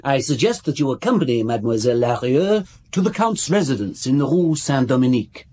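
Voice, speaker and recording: An actor playing a fussy, officious ministry official in a radio drama, formal, hesitant and pedantic. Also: male voice, medium pitch, sing-song, brisk, a little mellow. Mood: cautious, officious.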